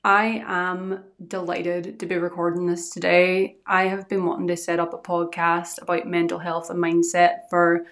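A young woman with a Northern Irish accent softened by Scottish tones, hosting a solo podcast in an earnest, rambling, chatty way into a podcast microphone. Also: fairly low pitch, level tone, normal speed, hardly any pauses. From Northern Ireland. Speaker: podcast host.

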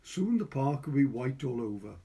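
A man's voice: medium pitch, sing-song, moderate pace, warm tone. A man with a Welsh accent reading a literary short story as narrator. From Wales.

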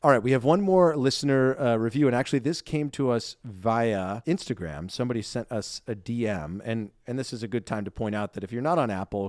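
A young man co-hosting a podcast, reading listener reviews in a lively, self-deprecating chat and laughing easily. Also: fairly low pitch, dry recording, studio quality. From Ireland. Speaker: podcast co-host.

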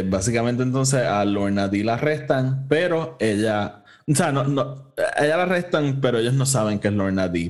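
A man with a fairly deep voice and a Puerto Rican accent talks as a podcast co-host. His style is casual and unpolished, mixing English into his Spanish and cursing freely. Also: a little mellow. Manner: informal, swearing, code-switches between Spanish and English. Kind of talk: casual conversational review.

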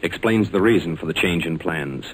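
A man's voice: sits low, normal speed, breathy edge. An American man announcing and narrating in a scripted, formal and emphatic 1940s radio style. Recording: noisy old 1940s radio recording.

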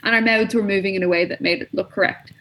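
Young woman on a podcast, casual chat, swears a lot, medium-pitched voice. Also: fairly even intonation, quick pace, pushed and bright, low-quality recording. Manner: chatty, swears freely.

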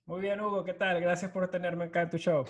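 A man's voice: high-pitched, level tone, brisk, highs cut off. A Venezuelan man in his thirties, a startup co-founder, telling his story at length over a video call and dropping English words into his Spanish. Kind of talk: casual storytelling interview.

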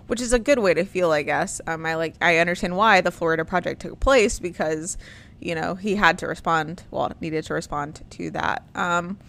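A woman talks in an easygoing host's chat, wandering from thought to thought and filling her pauses with ums and likes. Her voice sits a bit low for a woman. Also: fairly bright tone. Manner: rambling, with many ums and likes. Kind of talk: casual chat.

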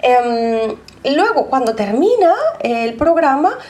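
High-voiced, laughing woman radio host. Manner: energetic, laughs often, quick bursts of speech. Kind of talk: lively radio interview. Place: radio studio. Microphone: studio microphone.

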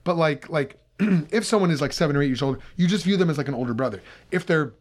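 Male podcast host, 30s, slightly high voice, casual and playfully needling conversational delivery. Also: fast talker. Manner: teasing, bantering. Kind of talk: casual chat.

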